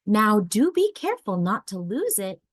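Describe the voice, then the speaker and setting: A woman voicing a loving mother raccoon in a storybook, gentle, soothing and reassuring. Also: medium pitch, very animated, normal speed, no room sound, studio quality. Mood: tender. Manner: gentle, soothing, teasing tenderness.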